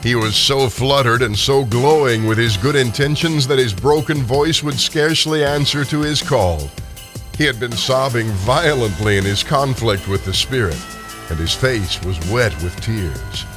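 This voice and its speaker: A man narrating a scripted classic tale in a theatrical, expressive storytelling style. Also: medium pitch, measured pace, over music.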